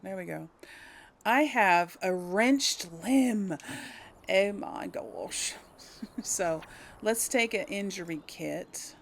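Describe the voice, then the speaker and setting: A woman's voice: medium pitch, drawn-out words, vocal fry. A woman giving casual, hesitant let's play commentary, thinking aloud to viewers as she plays. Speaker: host of a gaming let's play.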